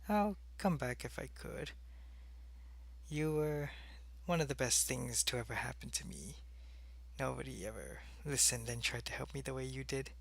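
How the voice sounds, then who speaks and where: A man as a gravelly, earnest alien spy. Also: medium pitch, a bit bright. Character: male alien spy of a hive, earnest and devoted. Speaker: voice actor in an audio roleplay. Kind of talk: ASMR roleplay acting.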